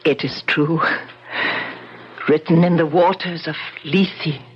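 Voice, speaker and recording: An actress in a 1970s radio drama playing a grateful ballet star, formal and theatrical. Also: female voice, sits low, fairly even intonation, slow. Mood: solemn. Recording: dull, faintly noisy 1970s radio recording.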